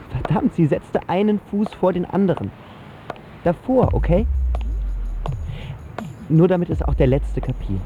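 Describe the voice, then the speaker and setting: A smitten teenage boy played by a man narrates a radio drama in a mid-pitched voice. He is colloquial and emphatic, self-deprecating while trying to be cool. The sound is narrow like a phone, with guitar music clearly behind him. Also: natural voice. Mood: awestruck, emphatic.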